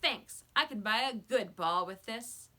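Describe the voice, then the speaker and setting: A woman voicing a nervous, doubtful boy in a storybook, uneasy and suspicious, with rough grammar. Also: on the high side, animated, measured pace, bright, forceful tone, full character voice. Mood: pleased.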